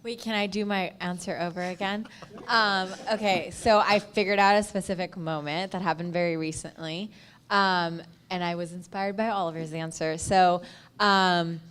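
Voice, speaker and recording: A woman with a fairly low voice talks casually, as a podcast host on a panel would. She tells stories in loose, conversational phrases, often dropping in "like" as she goes. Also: fairly even intonation, moderate pace, a bit bright. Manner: informal, frequent "like" as filler.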